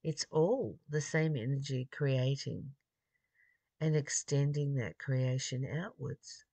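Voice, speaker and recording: Slow-paced, reflective spiritual reader in mid-range pitch. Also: dark tone, no echo. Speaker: spiritual study reader and commentator. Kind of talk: reading aloud with informal reflective commentary.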